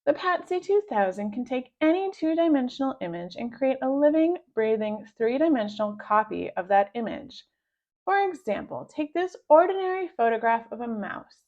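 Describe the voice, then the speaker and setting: A woman's voice: a little high, sing-song, moderate pace, darkish tone, lo-fi sound, voice pushed up. A woman voicing a nerdy, self-important boy inventor who speaks pompously and precisely in a children's storybook. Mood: proudly boastful. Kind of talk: children's storybook read-aloud.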